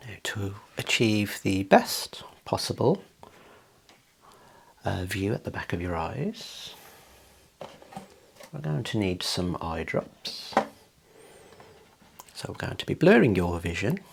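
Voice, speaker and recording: Deep soft guy doing asmr eye doctor stuff, half whispered, gentle, bit of background noise. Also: sing-song, takes it slowly, long pauses. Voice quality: soft, breathy, often dropping into whisper. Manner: gentle, procedural, reassuring instructions. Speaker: ASMR host playing an eye clinician. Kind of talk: soft-spoken, partly whispered ASMR clinical role play.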